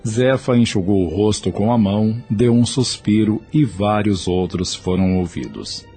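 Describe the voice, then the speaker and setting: A man's voice: lowish voice, animated, measured pace, mellow. A man narrating a scripted audio drama as storyteller. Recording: music under the voice.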